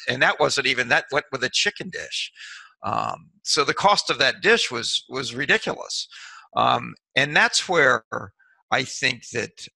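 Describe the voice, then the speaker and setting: A man's voice: medium pitch, vocal fry, pushed and bright, dry recording, rough recording. A middle-aged man, a restaurant consultant and author, explaining in a rambling way full of fillers and restarts. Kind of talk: interview answer, casual explaining.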